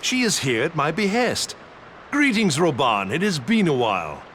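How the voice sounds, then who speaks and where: An actor playing a composed, shrewd military intelligence commander in a fantasy game, speaking in formal, measured, archaic phrases with grave courtesy. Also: male voice, on the high side, very animated, a bit bright, slight background noise. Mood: cordial. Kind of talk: dramatic fantasy game voice acting. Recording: long reverb under clear music.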